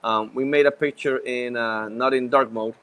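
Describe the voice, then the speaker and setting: A man with a Puerto Rican accent co-hosting a podcast at a live event, playful and quick to joke and laugh. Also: medium pitch, steady tone, a bit bright. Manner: playful, joking, laughs often. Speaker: podcast co-host. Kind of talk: casual chat at a live event.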